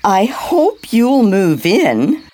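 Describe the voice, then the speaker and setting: Actress as very animated, unhurried older woman. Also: medium pitch, exaggerated voice. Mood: fond, flustered. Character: chatty, kindly older woman at seniors' residence, wistful. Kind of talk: audio drama acting.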